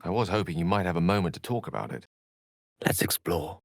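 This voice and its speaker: An actor with a British accent voicing a learned, proud, romantic wizard in a video game, with eloquent, slightly pompous phrasing. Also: male voice, sits low, brisk, no room sound, studio quality. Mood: soft, tender, then battle-ready, forceful. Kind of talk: video game character voice lines.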